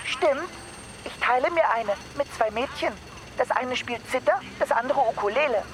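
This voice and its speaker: A woman's voice: high voice, normal speed, slight background noise, natural voice. A woman playing a naive, polite young person called Baumann in a German radio drama. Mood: indignant, puzzled.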